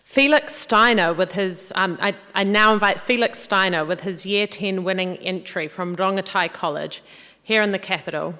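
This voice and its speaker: Lady mc at a formal event, bright forward voice, echoey big hall. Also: mid-range voice, normal speed. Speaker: master of ceremonies. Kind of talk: semi-scripted event hosting. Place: large parliament hall. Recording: long hall reverb.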